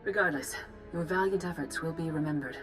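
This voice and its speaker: A woman playing a composed, authoritative Jedi master in a video game, speaking in a measured, formal and grave way. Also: low voice, a bit bright, soft background music. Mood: calm, formal. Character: composed, authoritative female Jedi master giving mission briefings. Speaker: military commander briefing volunteers. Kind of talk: formal scripted game dialogue.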